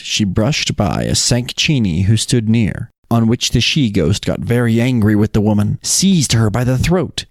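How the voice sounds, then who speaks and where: A man's voice: fairly low pitch, a little mellow, dry recording, clean studio sound. A man co-hosting a folk tale podcast, talking and telling stories. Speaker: podcast co-host. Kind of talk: casual chat and storybook reading of folk tales.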